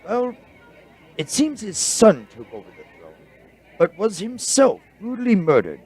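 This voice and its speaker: An actor playing an excitable, bookish male scholar in a radio drama, with a husky voice and a halting, explanatory delivery. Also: a little high, exaggerated voice.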